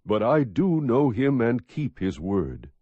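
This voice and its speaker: A man narrating scripture as an audiobook, reading in a measured, expressive, husky voice. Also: medium pitch, dry recording, phone-quality sound.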